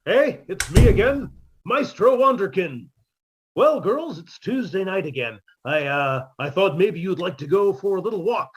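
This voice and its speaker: Mournfully comic maestro played by a man, high-pitched and husky, melodramatic, self-pitying, 1950s radio comedy. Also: expressive, moderate pace. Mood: hopeful.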